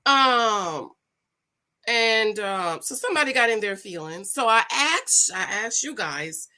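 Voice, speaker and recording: A woman who grew up in Jamaica and the American South, with a Jamaican-American accent, chatting loudly and casually to camera with lots of fillers, recorded at home on her computer without a separate microphone. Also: fairly high pitch, takes it slowly. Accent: Jamaican-American, with a Southern US touch. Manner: loud, chatty, animated, many fillers. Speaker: reality TV recap vlogger. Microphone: computer built-in mic, no microphone.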